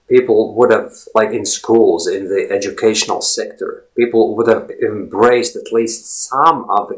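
Latvian man in his forties, native Latvian, gravelly low voice, loose rambling talk, repeats himself. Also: poor audio. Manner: rambling, filler-heavy, repeats words. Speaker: language blogger. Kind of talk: casual monologue.

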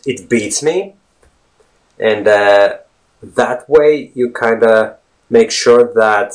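Low-voiced man teaching English. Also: rough recording. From Latvia. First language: Latvian. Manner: chatty, digressive, repeats and rephrases points. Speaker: English teacher and video host. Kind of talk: informal language lesson to camera.

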